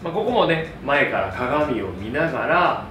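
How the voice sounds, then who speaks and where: A man's voice: medium pitch, very animated, measured pace, background noise. A man in his thirties, a hairdresser giving an upbeat, friendly, step-by-step tutorial to camera. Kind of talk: instructional hair tutorial to camera. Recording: roomy echo, distant mic, background music. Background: music.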